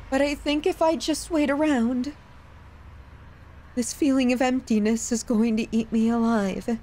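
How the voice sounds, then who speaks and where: Woman acting slow, high-voiced obsessive yandere lover. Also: darkish tone, a little hiss or hum. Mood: anxious, resolute. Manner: slow, halting, pleading, intimate delivery. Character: obsessive, possessive yandere lover, tender, menacing and desperate. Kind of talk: dramatic ASMR roleplay addressed to the listener.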